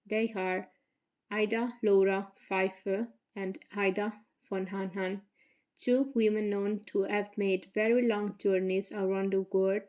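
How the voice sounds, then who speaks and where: A young woman with an Italian accent reads her prepared thesis presentation in English. Her delivery is flat and even, careful and measured, with the odd slip as she corrects herself. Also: medium pitch. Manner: careful, measured reading with slips. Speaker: graduate student.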